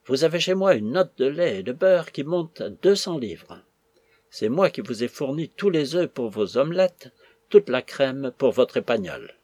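A man's voice: medium pitch, very animated, moderate pace, darkish tone, husky, no room sound, clean studio sound. Man playing a plaintive older butler in despair. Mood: reproachful.

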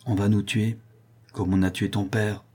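Audiobook villainess: savage, scornful condemned widow, low, husky and dark, read by a man. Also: little pitch movement, normal speed. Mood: cold anger. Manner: cold fury, savage irony, scornful. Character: fierce, sneering, vengeful condemned widow, a criminal mother.

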